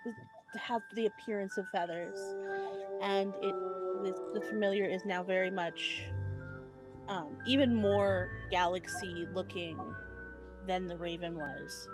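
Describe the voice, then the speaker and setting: A woman's voice: on the low side, rough recording. A woman playing a tabletop game, describing her character's familiar in a chatty way with lots of ums and likes. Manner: chatty, many ums and likes, laughs often. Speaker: player.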